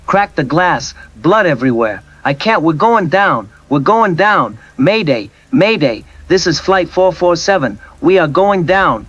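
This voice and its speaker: A man's voice: a little high, fairly bright tone. A man playing a panicked airline pilot in an audio drama, speaking in frantic broken phrases over a radio. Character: panicked male airline pilot in a mayday call. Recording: dull lo-fi cockpit radio recording.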